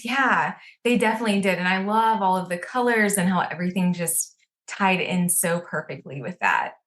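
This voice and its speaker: A woman's voice: lowish voice, lively intonation, moderate pace, fairly bright tone. A woman hosting a podcast, reading a scripted guest introduction and then chatting casually in an upbeat manner. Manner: upbeat, reads introduction smoothly. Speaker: podcast host.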